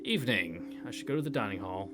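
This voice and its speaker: A man's voice: on the low side, animated, fast talker. A man hosting a visual novel read-through, reading the narration aloud and adding casual asides. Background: game music.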